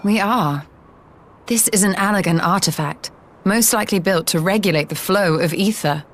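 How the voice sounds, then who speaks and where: A woman playing a composed, scholarly young mage in a fantasy video game, speaking in a measured, formal, analytical way. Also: mid-range voice, slight background noise, natural voice. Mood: measured, assured. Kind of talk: dramatic video game cutscene acting.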